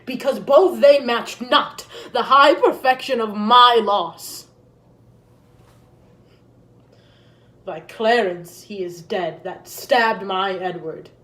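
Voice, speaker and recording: A woman playing a grieving, vengeful deposed queen in a Shakespearean stage monologue, declaiming solemnly and bitterly. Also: a little high. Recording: echoey room.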